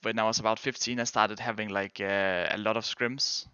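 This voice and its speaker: A young Danish man chatting casually with his stream viewers, hedging and correcting himself as he goes. His voice sits a little low but sounds bright and crisp. The dry mic is slightly dull. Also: steady tone, moderate pace, no echo. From Denmark. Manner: rambling, hedging, self-correcting. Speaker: game streamer and pro player. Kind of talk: casual chat answering viewers.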